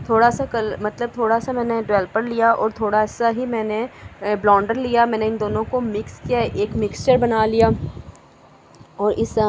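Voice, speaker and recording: Woman salon stylist, slightly high-pitched, chatty how-to delivery, phone mic with faint noise. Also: a little mellow, low-quality recording. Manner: chatty, repeats "theek hai ji" often. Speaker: hairstylist and channel host. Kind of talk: casual how-to tutorial narration. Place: hair salon.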